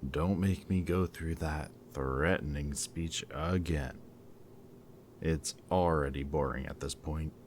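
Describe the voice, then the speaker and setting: A man acting a brooding villain kidnapper in an audio roleplay, mockingly polite and weary, speaking in a deliberate, theatrical way. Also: extremely low pitch, a little mellow, slight background noise. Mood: mocking, coaxing.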